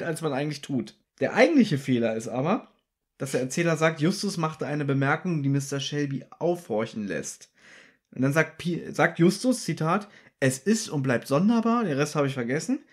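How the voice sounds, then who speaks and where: A man co-hosting a casual podcast, teasing and contradicting as he discusses an audio drama. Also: fairly high pitch. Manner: teasing, contradicting, acts out quotes. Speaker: podcast co-host.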